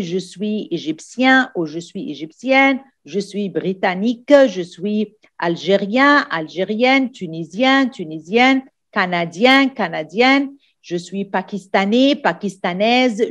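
A woman's voice: medium pitch, expressive, unhurried. A woman teaching French for an exam, explaining in English, repeating phrases and often asking "d'accord?". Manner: repetitive, checks in with "d'accord?" often. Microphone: voice-over on screen recording.

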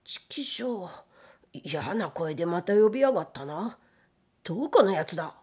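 Woman acting a jumpy, gruff samurai gatekeeper. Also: lowish voice, animated, moderate pace, mellow. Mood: spooked, irritated. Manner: rough-spoken muttering and trembling bluster. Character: jumpy, gruff male samurai gatekeeper, blustering while scared. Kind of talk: dramatic storytelling of a period novel.

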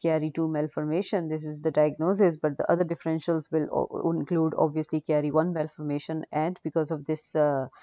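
Low, dark-voiced woman lecturing. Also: little pitch movement, brisk, hardly any pauses, dry recording. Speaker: radiology lecturer. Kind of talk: unscripted case-review lecture. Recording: dull, dry lo-fi audio.

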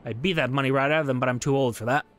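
A man's voice: mid-range voice, very fast, fairly bright tone, close to an everyday voice. A proud old locksmith, acted out by a man reading a game aloud, stands accused and answers back. He is indignant and boastful, with light music behind him. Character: proud old master locksmith on trial, defiant and grumbling.

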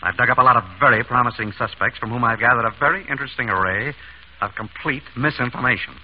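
An actor playing an urbane, wry doctor turned amateur sleuth in a 1930s radio drama, suave and dryly polite. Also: male voice, mid-range voice, fast talker, close to an everyday voice. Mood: weary, wry. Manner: suave, dry, polite questioning. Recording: scratchy, dull old radio broadcast.

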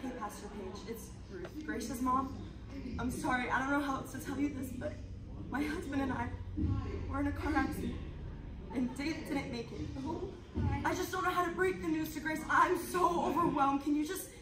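Woman acting high, theatrical voice, distant and noisy. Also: moderate pace, natural voice. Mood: distraught, halting. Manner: theatrical, clearly projected delivery. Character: several dramatic roles: grieving mother, teen, church leader. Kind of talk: readers theater performance. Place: large conference room. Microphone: distant camera. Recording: echoey room from far off, noisy ambience.

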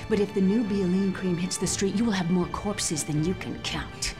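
A woman playing a sly, defiant young vigilante in a video game, teasing and wry, sometimes breathy. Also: mid-range voice, moderate pace, over music, close to an everyday voice. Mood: earnest, urgent. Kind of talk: video game cutscene acting.

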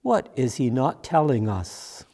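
A man's voice: mid-range voice, sing-song, warm tone. An older man playing a troubled, devoted disciple of Jesus in a church monologue, reflective and questioning. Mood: puzzled. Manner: slow, reflective, questioning delivery. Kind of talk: dramatic monologue in a church service. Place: church sanctuary, at a pulpit. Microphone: gooseneck pulpit microphone.

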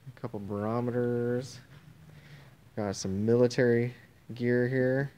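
Slow, offhand man. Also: mid-range voice, level tone, mellow, smooth, muffled recording. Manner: slow, offhand, trailing off mid-phrase. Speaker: auctioneer previewing items. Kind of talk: casual walkthrough narration. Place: indoor warehouse and tent. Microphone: handheld phone camera.

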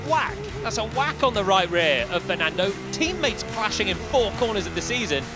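Male race commentator, high voice, live call with music underneath. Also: sing-song, normal speed.

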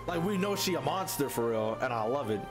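A man's voice: medium pitch, lively intonation, brisk. A young man giving casual, slangy reaction commentary as a video host, often repeating his phrases. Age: young adult. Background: game trailer music.

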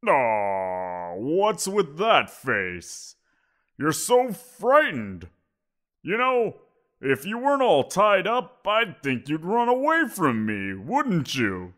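A man's voice: fairly high pitch, huge pitch swings, takes it slowly, a bit bright, exaggerated voice. A man voicing a giant wolf creature in an audio roleplay, teasing and amused, chuckling as he talks. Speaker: voice actor.

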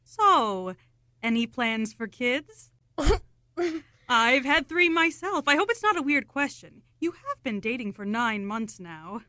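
Woman acting a high-voiced, nagging mother. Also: very animated, slow, sharp tone, no room sound, studio quality, heavily acted. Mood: fussing. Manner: pointed, scolding. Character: nagging, critical mother of a grown son. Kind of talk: absurdist radio play acting.

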